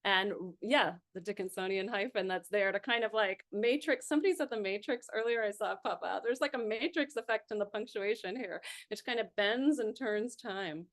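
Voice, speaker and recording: Woman seminar leader, mid-pitched, conversational, explains poetry with ums and asides. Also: moderate pace, hardly any pauses. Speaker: seminar instructor. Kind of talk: online seminar discussion and poetry reading.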